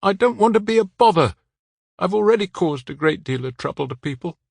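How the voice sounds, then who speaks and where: A man reading the part of a weary, apologetic man in his forties who speaks quietly and plainly. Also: high voice, lively intonation, fairly quick, creaky, slightly rough, dry recording, voice pushed up, exaggerated voice. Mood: resigned.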